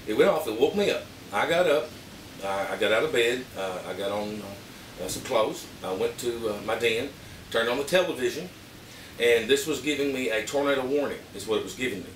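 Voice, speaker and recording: A man with an Arkansas Southern accent giving a casual, plain-spoken, halting product review to camera. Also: mid-range voice, animated. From Arkansas, United States. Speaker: vlogger reviewing emergency gear. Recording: roomy, distant mic with background noise.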